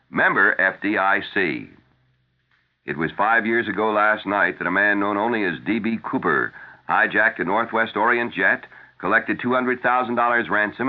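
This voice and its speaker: A man reading scripted news and ad copy as a radio announcer, in a measured, formal style. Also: on the low side. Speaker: radio newscaster. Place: radio studio. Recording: tinny, narrow-band 1970s radio broadcast.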